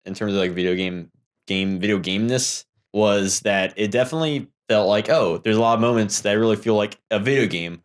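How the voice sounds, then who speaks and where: A man's voice: fairly low pitch, fairly quick. A man co-hosting a podcast in casual banter, wry and nitpicking as he jokes around. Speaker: podcast co-host, video game reviewer. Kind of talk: casual banter and movie discussion.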